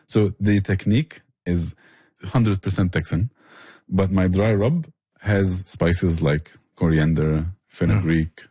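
A man's voice: low-pitched, fairly even intonation, slow pace, mellow, husky, dry recording. A man explaining things with passion in a conversational interview. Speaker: barbecue restaurant owner and chef, interview guest.

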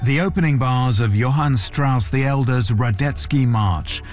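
A man's voice: medium pitch, a little slow, over music. Male correspondent narrating a report. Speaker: foreign news correspondent. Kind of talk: scripted radio report narration.

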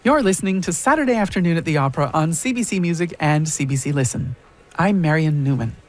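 A man's voice: on the high side, expressive, fast. A man hosting a radio program, introducing what's coming up in a friendly, conversational read. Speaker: radio host. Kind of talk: scripted radio announcing.

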